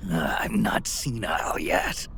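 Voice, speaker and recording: A very deep, gruff aging soldier. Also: male voice, a little slow, a little hiss or hum, heavily acted. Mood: irritated. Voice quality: gravelly, hoarse rasp. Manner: terse, deadpan. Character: weary gruff aging male soldier, dry and stubborn. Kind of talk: video game cutscene acting.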